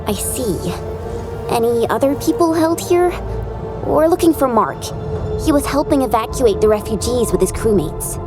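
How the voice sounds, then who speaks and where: A woman's voice: a little high, normal speed, music in the background, lightly acted. An actress playing a spirited young female squad fighter in a video game, eager, curious and direct. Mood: concerned. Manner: lively, direct.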